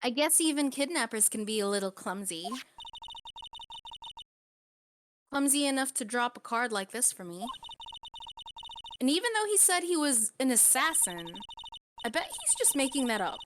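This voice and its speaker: Woman doing a chirpy high teen girl. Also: expressive, normal speed, background noise, natural voice. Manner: chirpy, wide-eyed and playful. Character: cheerful curious teenage girl, spirit medium. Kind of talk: live fan dub of a game.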